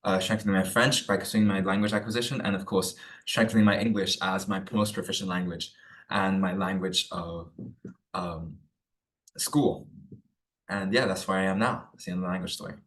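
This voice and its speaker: A teenage boy giving a student research presentation over a video call, hesitant with many pauses and fillers. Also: low voice, fairly even intonation, fairly bright tone, recorded from a distance, low-quality recording. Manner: hesitant, many ums and uhs. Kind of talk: presentation talk.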